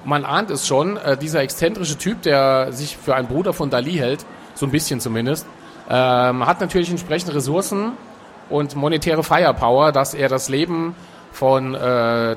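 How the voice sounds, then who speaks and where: A man with a slightly raised voice talks loosely about his work, filling his pauses with little hesitations. He sounds relaxed and conversational. A faint background hiss sits under the voice. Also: a little high. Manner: relaxed, chatty, with frequent filler sounds. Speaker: author and guest. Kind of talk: casual interview talk.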